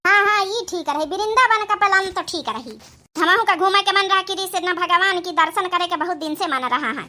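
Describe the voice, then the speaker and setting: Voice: extremely high pitch, normal speed, sharp tone, full character voice. A performer voicing an elderly, grumbling village aunt in a comic cartoon family drama, fussy and plain-spoken, with an Awadhi accent. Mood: agreeable.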